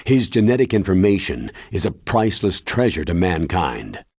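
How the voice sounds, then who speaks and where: A man voicing a grave, authoritative military commander in a video game, explaining in a measured, solemn way with a husky voice. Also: sits low, sing-song, a little mellow, dry recording, exaggerated voice. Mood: solemn, explanatory.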